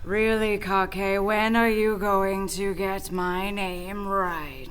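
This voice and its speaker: A woman's voice: medium pitch, fairly even intonation, slow pace, smooth, lightly acted. A woman playing a haughty, weary exiled leader in a comedic sci-fi radio play, imperious and formal in manner. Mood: haughty weariness.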